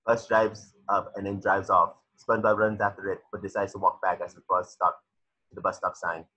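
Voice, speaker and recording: A man's voice: low-pitched, flat delivery, a little fast, bright, forceful tone, breathy edge, poor audio. A man narrating a cartoon script's stage directions in a matter-of-fact way, sometimes stumbling over the words. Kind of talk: reading a cartoon script aloud.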